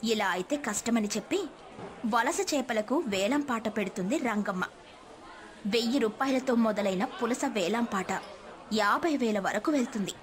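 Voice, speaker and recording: A woman's voice: a little high, lively intonation, normal speed. A woman telling a scripted moral story as its narrator.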